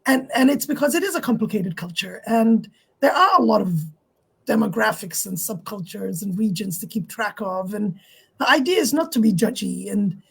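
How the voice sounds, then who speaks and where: A woman in her fifties, originally from Somalia, speaking as a guest in a reflective, gently humorous way with some restarts. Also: medium pitch, expressive, moderate pace, vocal fry, slightly rough. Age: 50s. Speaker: guest speaker, university staff. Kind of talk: reflective personal talk.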